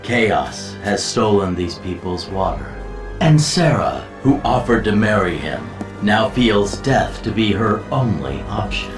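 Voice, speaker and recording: An actor playing a smooth, eloquent schemer with an insinuating, theatrical manner in a video game cutscene. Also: male voice, lowish voice, very animated, unhurried. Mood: grandiose. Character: smooth, theatrical, scheming male manipulator counseling heroes. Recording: roomy echo, distant, music behind.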